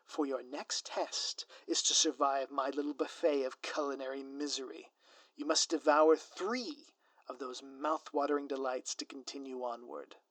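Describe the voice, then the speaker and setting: A man playing a scheming, theatrical supervillain in a scripted ASMR audio roleplay, with a pompous, florid, drawn-out delivery. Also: mid-range voice, fairly even intonation, fairly bright tone, dry recording, lightly acted. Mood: grandiose, gloating. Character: theatrical scheming male supervillain, gloating, menacing, easily flustered.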